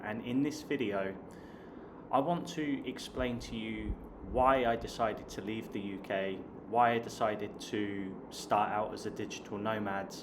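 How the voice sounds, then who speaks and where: A man in his late thirties from the south of England, with a southern English accent, chatting casually to viewers as a vlogger. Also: on the low side. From Hampshire, south of England. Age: late 30s. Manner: chatty, addresses viewers as guys. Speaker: online English teacher and vlogger. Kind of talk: casual talk to camera. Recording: clear background noise.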